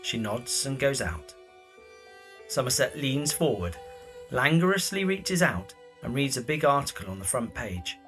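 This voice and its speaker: A man narrates drama scenes from a script in the present tense. His mid-pitched voice is animated, rising and falling widely. Faint music sits behind it. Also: a little slow.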